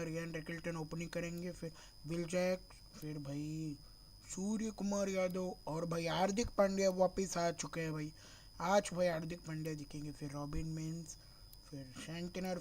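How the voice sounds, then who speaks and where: A man from Mumbai streaming a cricket video game, slightly hoarse from a sore throat, thinking aloud and calling his viewers "bro" in nearly every phrase. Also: fairly high pitch, dark tone, a little hiss or hum. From Mumbai, India. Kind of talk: live gameplay stream chat.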